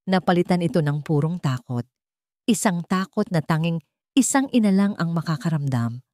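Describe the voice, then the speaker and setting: A woman's voice: on the low side, expressive, normal speed, dark tone, no echo, studio quality. A woman reading scripted fiction as a narrator, in a solemn, emotive storytelling style.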